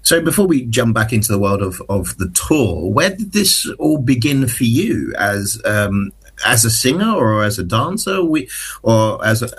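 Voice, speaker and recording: A man's voice: medium pitch, sing-song, measured pace, slightly rough. A man interviewing a performer, asking long, meandering questions full of fillers.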